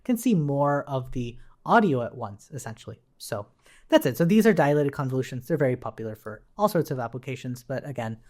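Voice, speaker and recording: A man giving an informal coding tutorial, explaining step by step in a conversational way and often checking in with a quick "right?". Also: mid-range voice, animated, darkish tone. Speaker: programming instructor.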